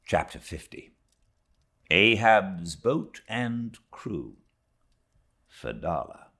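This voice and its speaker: Deep, expressive British male audiobook narrator. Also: extremely slow. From Britain. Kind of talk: dramatic literary narration.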